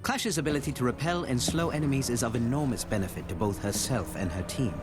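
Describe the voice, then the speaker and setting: An actor playing a male special-forces director in a video game, giving formal, measured, scripted appraisals of his operatives. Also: medium pitch, lightly acted. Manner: formal, measured, deliberate delivery. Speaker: game announcer introducing operators. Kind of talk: scripted narration. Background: music.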